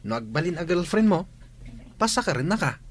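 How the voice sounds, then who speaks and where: A man's voice: a little high, big pitch swings, a little fast. A man playing a carefree, scheming teenage schoolboy in a radio drama, cajoling in a casual way.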